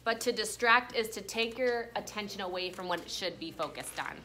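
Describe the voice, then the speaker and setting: A woman's voice: mid-range voice, lively intonation, a little fast, pushed and bright, slight background noise. A woman teaching an elementary class, explaining words and reading aloud in an interactive way, often prompting with questions. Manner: prompting with questions and check-ins. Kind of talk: interactive classroom vocabulary lesson and read-aloud. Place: classroom with pupils.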